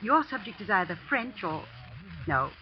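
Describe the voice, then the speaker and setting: Actress as chatty female veteran teacher, 1940s radio play, animated pitch, muffled old broadcast. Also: normal speed, close to an everyday voice. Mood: amiable, teasing. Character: amiable, chatty long-serving female school teacher. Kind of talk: 1940s radio drama acting. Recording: dull, noisy vintage radio recording.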